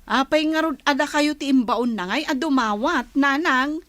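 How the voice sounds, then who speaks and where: A woman's voice: high-pitched, moderate pace, fairly bright tone, dry recording. A woman playing a thrifty, long-suffering elder sister in an audio drama, sighing in reproach with an Ilocano accent. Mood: resigned reproach. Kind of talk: Ilocano radio-style drama acting.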